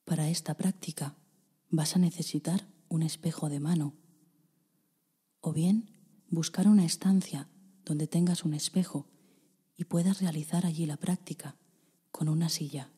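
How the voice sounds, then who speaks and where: A woman with a Spain Spanish accent, guiding a meditation in a slow, soft voice that often drops into a whisper. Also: sits low, steady tone, in a large hall. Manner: slow, soft, breathy delivery with whispered passages. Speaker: meditation guide. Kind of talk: guided meditation.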